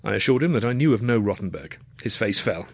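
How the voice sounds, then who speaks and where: A man with an English accent reading a first-person story in a wry, dryly humorous way, slightly husky. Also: on the low side, expressive, fast, dry recording, clean studio sound. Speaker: author reading his own novel.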